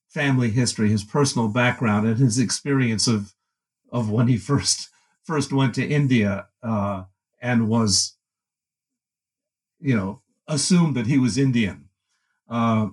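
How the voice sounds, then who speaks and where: A man with a somewhat husky, mid-pitched voice gives an academic interview answer. He talks in a halting, searching way, restarting phrases and filling pauses as he thinks. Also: poor audio. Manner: halting, many restarts and fillers. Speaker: book editor answering questions.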